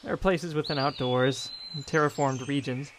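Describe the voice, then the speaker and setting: An earnest, idealistic spaceman , acted by a man, speaks with wonder and conviction in a science-fiction audio drama. His voice is a little high for a man, with a light layer of noise behind it. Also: normal speed, lightly acted.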